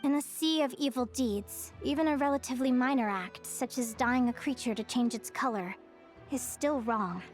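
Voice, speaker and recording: Woman voicing young female naturalist, high pitch, curious, earnest, articulate explanations, video game dialogue, light music. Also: moderate pace, lightly acted. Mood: concerned.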